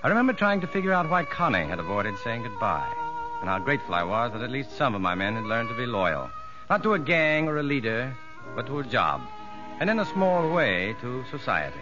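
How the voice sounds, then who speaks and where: Kindly, measured psychologist played by a man, animated mid-range voice, dull vintage radio sound. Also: mid-range voice, music in the background, lightly acted. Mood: reflective. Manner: measured, well-spoken. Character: kindly, sincere prison psychologist looking back. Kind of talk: 1950s radio drama acting and narration.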